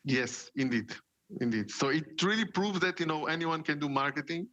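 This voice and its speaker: A man's voice: on the high side, normal speed, pushed and bright, no echo. A man in his forties from Portugal, a marketing executive, telling his story in a casual interview with lots of fillers and repeated words.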